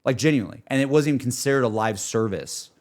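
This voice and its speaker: Young guy streamer, casual gamer chat, medium pitch, excitable and rambly, pokes fun at himself. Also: quick pace. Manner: enthusiastic, self-deprecating. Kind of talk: casual livestream chat, rambling gaming talk.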